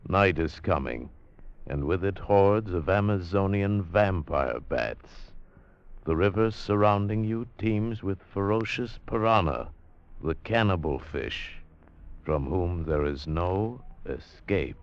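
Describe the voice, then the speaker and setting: A man narrating in an ominous, deliberate old-time radio style, as in a dramatic teaser. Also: sits low, gravelly. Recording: dull vintage radio recording.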